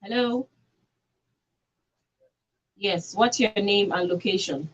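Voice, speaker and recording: A woman's voice: mid-range voice, slow pace, highs cut off. A Nigerian woman hosting a live radio call-in show from a studio.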